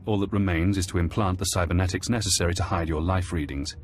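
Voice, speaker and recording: A man playing a calm, precise intelligence handler in a scripted game cutscene, speaking in a measured, detached way. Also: low-pitched, a little hiss or hum. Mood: measured, clinical. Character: calm, clinical male intelligence handler and tech expert.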